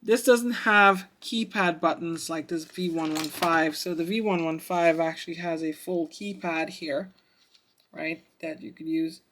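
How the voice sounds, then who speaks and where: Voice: mid-range voice, a little slow, a bit bright. A reviewer giving a casual product demonstration, thinking aloud in a hesitant way. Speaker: radio reviewer.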